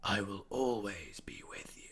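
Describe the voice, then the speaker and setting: A man voicing a sinister, slow, whispering rat creature that speaks inside a cat's head. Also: fairly low pitch, fairly bright tone. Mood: menacing. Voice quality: hoarse whisper. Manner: slow, menacing, distant.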